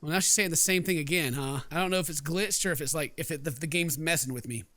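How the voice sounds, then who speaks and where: A man's voice: on the high side, fast talker, sharp tone, no room sound. A man doing casual let's play commentary, jokey and rambling, reading game text aloud as he goes. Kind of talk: casual gameplay commentary with read-aloud narration.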